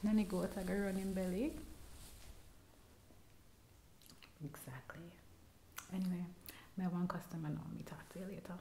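A woman in her thirties with a Jamaican accent, voicing a rude, impatient barber in a soft-spoken ASMR roleplay, recorded close to a binaural mic in a small room. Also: on the low side, brisk, slight background noise, lightly acted. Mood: gossipy. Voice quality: soft-spoken, often whispering. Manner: curt and scolding. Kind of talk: ASMR personal attention roleplay. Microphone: close binaural mic, leaning toward the camera.

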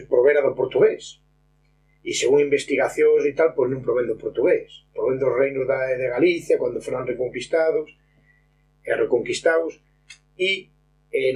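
Casting: middle-aged man, Fala speaker, mid pitch, halting explanatory interview talk, light room noise. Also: poor audio. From San Martín de Trevejo, Extremadura, Spain. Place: rustic stone-walled room, indoors. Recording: faint room hum and noise.

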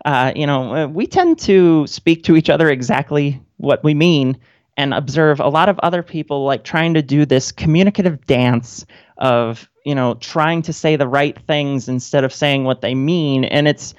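A woman's voice: deep voice, normal speed, a little mellow, dry recording. A woman who is a guest on a radio interview, chatting casually with a wry manner. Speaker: guest, independent author and grad student. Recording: dull, lo-fi line.

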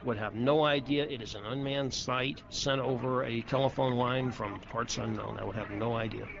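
A man's voice: mid-range voice, fairly even intonation, normal speed, creaky, crowd noise. A man recounting things matter-of-factly in an interview, in muffled, noisy audio.

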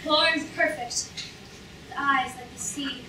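A woman's voice: high-pitched, fairly even intonation, a little slow, bright, forceful tone. A young woman playing a proud, grieving, defiant young princess in a staged reading. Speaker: actor in a student staged reading. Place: lecture hall stage, indoors. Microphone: far camera in audience. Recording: echoey hall, distant audience-camera mic, noisy.

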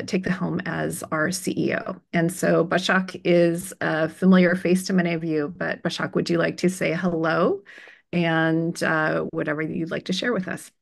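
A woman presents an update as host of an online meeting. Her voice sits fairly low with a clear creaky fry. She explains things conversationally, in an informal webinar style over a video call microphone.

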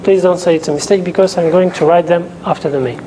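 A man's voice: high-pitched, steady tone, fast talker, noisy background. A young man teaching a class in a lecture room, speaking English as a second language and stopping often to check that students follow. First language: not English. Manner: explains step by step, checks in often with "okay?". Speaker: teaching assistant. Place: lecture room with a projector and whiteboard. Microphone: lapel mic.

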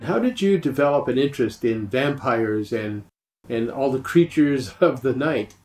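Casting: male podcast interviewer, mid-pitched, guitar music behind. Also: animated, normal speed, darkish tone. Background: plucked guitar music.

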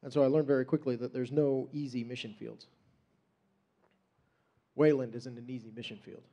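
A man's voice: mid-range voice, little pitch movement, normal speed, mellow, muffled recording. Storytelling missionary man at a church stage. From Western New York, USA. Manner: conversational storytelling, self-deprecating asides, gestures while talking. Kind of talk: personal testimony sermon. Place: church stage with drum kit, indoors. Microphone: handheld microphone.

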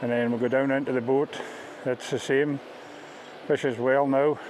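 Middle-aged Scottish male ghillie, unpolished and halting, explaining informally outdoors over light ambient noise. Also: mid-range voice, flat delivery. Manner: halting, plain-spoken. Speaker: fishing ghillie on a river beat. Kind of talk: informal interview-style explanation of a fishing beat. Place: outdoors on a riverbank.

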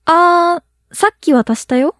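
A woman's voice: very high voice, slow pace, fairly bright tone, no room sound, studio quality, exaggerated voice. A young woman playing a friendly, easily flustered schoolgirl with a crush in a radio drama. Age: young adult. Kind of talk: Japanese student radio drama acting.

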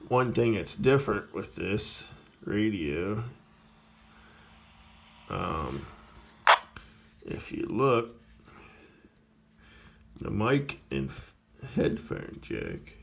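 Brief: plainspoken male reviewer, very deep and gravelly, unhurried, rambling demo talk. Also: sing-song. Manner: plainspoken, rambling, many uh fillers. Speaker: radio reviewer. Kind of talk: casual product demo.

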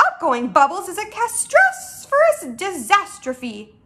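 Woman playing a friendly giant, storybook, indignant, emphatic, muddled grammar, high-pitched, very animated. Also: heavy background noise. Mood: appalled. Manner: emphatic, exclaiming, drawn-out words in mangled grammar.

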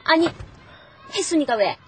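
Casting: snobbish, excitable mother, middle-aged, high and swooping, unhurried, TV drama. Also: exaggerated voice. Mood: indignant. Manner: theatrical, exclamatory. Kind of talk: television children's drama acting.

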